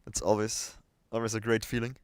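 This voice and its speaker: A man's voice: fairly low pitch, level tone, fairly quick, darkish tone, clear, resonant tone, no room sound, studio quality. A man hosting a casual livestream chat, thinking aloud and hesitating as he goes. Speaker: livestream host.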